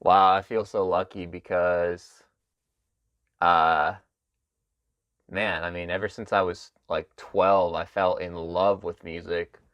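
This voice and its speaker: A man hosting a podcast who asks thoughtful questions in a halting way, full of fillers and restarts. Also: deep voice, level tone, clear, resonant tone, no echo, dull audio. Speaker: podcast host. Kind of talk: interview conversation.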